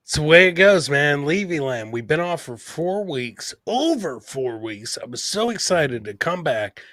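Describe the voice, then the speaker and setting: A man's voice: fairly high pitch, sing-song, a little slow, fairly bright tone, no echo. A man hosting a casual podcast, talking bluntly and swearing freely like he is among buddies. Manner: blunt, profane, buddy-like talk. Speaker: podcast host.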